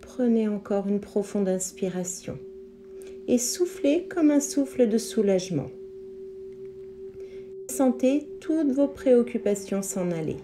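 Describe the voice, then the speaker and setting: A woman leading a guided yoga relaxation as an instructor, speaking calmly in measured, instructive phrases. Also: medium pitch. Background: meditation music with singing bowl tones.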